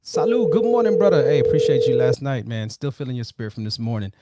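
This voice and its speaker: A man's voice: medium pitch, fast, heavy background noise. Man hosting a casual motivational livestream. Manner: informal, brotherly way of addressing people. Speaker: livestream host.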